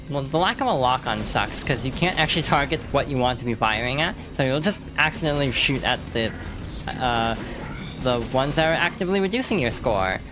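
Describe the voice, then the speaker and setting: A woman streamer rambling through casual let's-play commentary, with lots of fillers and restarts. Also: extremely low pitch. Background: game music.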